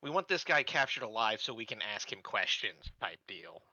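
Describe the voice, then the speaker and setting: A man playing a tabletop roleplaying game, casually improvising his character's backstory in halting, short phrases. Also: lowish voice, very animated, pushed and bright, no room sound, muffled recording.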